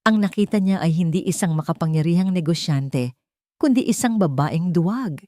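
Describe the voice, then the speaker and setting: Filipino woman narrating a dramatic love story, deep soft-toned voice, really dry sound. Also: expressive, normal speed, no room sound. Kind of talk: dramatic scripted romance narration.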